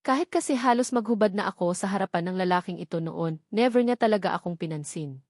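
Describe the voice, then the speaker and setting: A woman's voice: mid-range voice, fast, darkish tone, no room sound, clean studio sound, lightly acted. Narrator as proud, sarcastic rich young woman. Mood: boastful. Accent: Filipino. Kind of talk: storybook dialogue.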